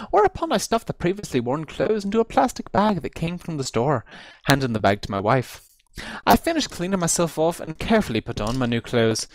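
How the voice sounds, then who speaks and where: A man reads a comic first-person tale with highly animated, swooping intonation. His delivery is theatrical and emphatic, stretching out key phrases for effect. The sound is close and dry, as from a computer microphone on voice chat. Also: no room sound. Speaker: amateur reader of a comic story. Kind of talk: dramatic read-aloud of a humorous first-person story. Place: recorded over an online voice chat.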